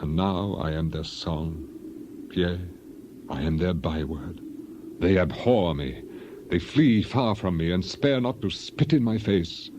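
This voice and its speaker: A man's voice: low voice, takes it slowly, background noise. A man voicing a grieving, dignified biblical elder in a solemn, lamenting, declamatory dramatized reading. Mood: anguished.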